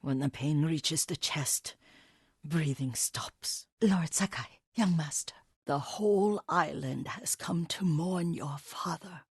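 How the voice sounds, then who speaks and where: An actress playing an elderly, devoted caretaker and herbalist in a video game, gentle but firm, with a husky, breathy voice and a motherly manner. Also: low-pitched, no echo, studio quality, exaggerated voice. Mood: solemn, consoling. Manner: unhurried, softly instructive, motherly. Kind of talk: video game character dialogue.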